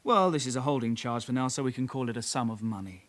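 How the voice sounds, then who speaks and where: An actor playing a young British detective constable in a radio drama, a calm sidekick with a measured, official way of talking. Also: male voice, medium pitch, natural voice. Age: young adult.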